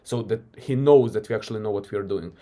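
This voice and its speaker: A man's voice: on the low side, fast, dark tone. A man with a Croatian accent, coaching by talking casually through a recorded sales call and often tagging "right?". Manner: loose and conversational, often tags "right?".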